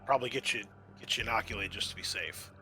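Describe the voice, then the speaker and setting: Hesitant, placating spaceship scientist, as voiced by a game master. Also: male voice, lowish voice, fast talker, pushed and bright. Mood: coaxing. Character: evasive male spaceship scientist pushing a cure.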